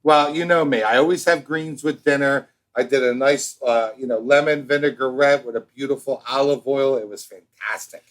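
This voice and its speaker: An American man chats as a podcast co-host in a medium-pitched voice. He is animated and a bit of a showman, switching between grand announcing and teasing banter. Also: moderate pace, hardly any pauses. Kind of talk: casual, bantering podcast talk.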